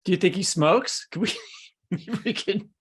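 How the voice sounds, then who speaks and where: A man's voice: high voice, measured pace, a bit bright, slightly rough. A man co-hosting a podcast in a conversational, chatty style. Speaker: podcast host.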